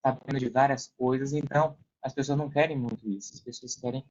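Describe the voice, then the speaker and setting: Young brazilian guy explaining stuff on a podcast, mid pitch, bit dull audio, checks in a lot. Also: fairly even intonation, quick pace, a little mellow. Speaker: podcast guest, online affiliate marketer working in support. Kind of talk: casual interview talk.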